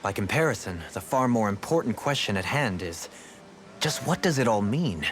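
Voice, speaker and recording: A man's voice: on the low side, sing-song, normal speed, slight background noise. An actor playing a refined, thoughtful nobleman turned rebel in a video game dub, eloquent, formal and pensive. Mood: serious, musing. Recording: game music playing behind. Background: background music.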